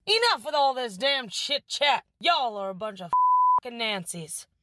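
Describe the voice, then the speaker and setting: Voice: medium pitch, sing-song, moderate pace, pushed and bright, slight background noise. An actor playing a foul-mouthed, hot-tempered Old West bank robber in a comic audio drama. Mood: irritated. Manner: aggressive, swearing, ranting.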